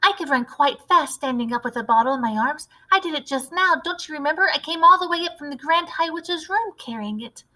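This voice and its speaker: A woman voicing an eager, brave little boy who has been turned into a mouse, earnest and excitable, for a storybook. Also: high-pitched, fairly quick, few pauses, voice pushed up, low-quality recording. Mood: confident.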